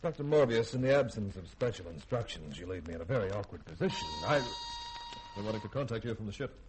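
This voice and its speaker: Animated, commanding starship captain, noisy recording. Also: male voice, on the low side, fast. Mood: challenging. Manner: commanding, direct. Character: brisk, authoritative spaceship commander. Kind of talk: 1950s radio drama acting. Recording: noisy old radio recording.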